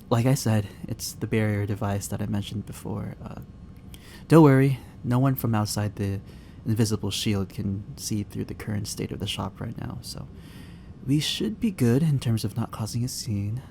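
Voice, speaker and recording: A man's voice: fairly low pitch, normal speed, vocal fry, warm tone, slight background noise. A young man acting a shy, flustered barista who is secretly an agent in an ASMR roleplay drama, hesitant, stammering and apologetic. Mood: explaining, worried. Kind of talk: ASMR roleplay, acted dialogue spoken to the listener.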